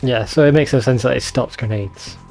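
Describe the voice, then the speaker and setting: A man's voice: mid-range voice, fairly quick, gravelly, darkish tone, soft background music. A man giving casual, sweary commentary over a video game in an informal, bemused way. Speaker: let's play video host.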